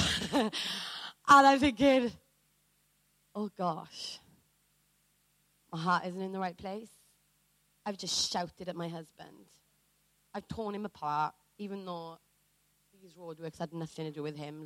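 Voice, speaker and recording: A woman preacher from Wales telling a personal story in a sermon, animated and dramatic, acting out what people said. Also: medium pitch, unhurried, long pauses, no room sound.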